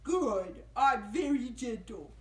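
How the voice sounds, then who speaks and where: Friendly, flustered zombie puppet, high and swooping, children's show. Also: male voice, lively intonation, slow, fairly bright tone, roomy sound, exaggerated voice. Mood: gentle. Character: gentle, friendly zombie puppet, falling apart, easily flustered.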